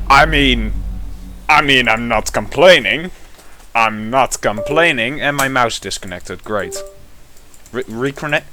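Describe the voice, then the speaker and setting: A man giving casual, sarcastic commentary while opening game packs, grumbling and then breaking into sudden excited yells. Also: medium pitch. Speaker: gaming content creator.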